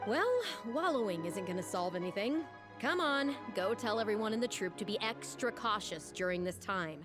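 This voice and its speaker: An actress voicing a stern, protective troupe manager in a game, blunt and commanding, with an exasperated manner. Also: female voice, medium pitch, lively intonation, normal speed, music in the background, lightly acted. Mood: resigned, firm. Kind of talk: voice-acted game cutscene dialogue.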